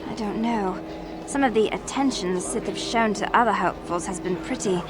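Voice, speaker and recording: An actress playing an uncertain young Sith Academy hopeful in a video game, plain-spoken and a little resigned. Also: female voice, mid-range voice, fairly quick, heavy background noise, natural voice.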